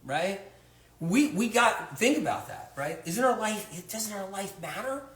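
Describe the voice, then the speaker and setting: Male livestream host, high voice, emphatic and repetitive, echoey room. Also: animated, measured pace, fairly bright tone. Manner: emphatic, repetitive, rhetorical questions. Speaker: health author hosting a livestream. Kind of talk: livestream monologue to viewers.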